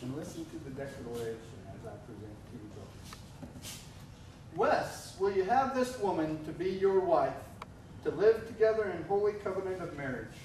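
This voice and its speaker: A middle-aged man officiating a wedding, speaking in a measured, solemn way. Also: a little high, sing-song, a little mellow, a little hiss or hum. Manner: measured, solemn liturgical delivery. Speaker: officiating minister. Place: church sanctuary. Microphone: far camera. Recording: echoey church from far off.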